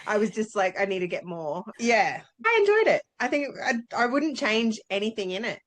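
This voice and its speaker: A woman co-hosting a book club podcast in casual conversation. She talks in a chatty, candid way, at a middling pitch for a woman. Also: big pitch swings, moderate pace. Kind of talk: casual chat about books.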